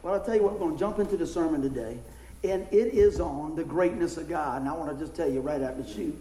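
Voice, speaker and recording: A middle-aged man, a pastor, preaching a casual sermon with a hoarse voice that he is losing, folksy and animated in his stories. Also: fast talker, roomy sound, low-quality recording. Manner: folksy, animated storytelling with rising pitch in anecdotes. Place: church.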